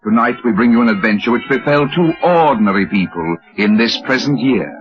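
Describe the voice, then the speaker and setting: An actor playing a grave, solemn narrator of a supernatural anthology, in theatrical old-time radio style. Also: male voice, medium pitch, lively intonation, a little fast, soft background music, lightly acted. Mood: ominous. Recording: dull old radio broadcast recording.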